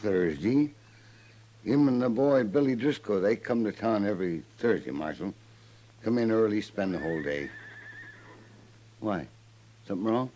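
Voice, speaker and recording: Actor as a low-voiced folksy frontier stableman. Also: lively intonation, normal speed, pauses often, mellow, close to an everyday voice. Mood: rueful, puzzled. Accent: rural American. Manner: rustic, rambling, plain-spoken. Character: folksy, talkative frontier stableman, self-deprecating. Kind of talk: 1950s radio drama acting. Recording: faintly noisy old radio recording.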